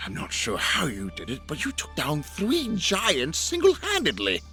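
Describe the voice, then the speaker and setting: Shaken bunny villager for a game, nervous, very animated, sharp tone, bit of music. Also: male voice, a little high, moderate pace, heavily acted. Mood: weary awe. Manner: nervous, hesitant. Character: timid, shaken male rabbit farmer villager. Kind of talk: video game voice acting.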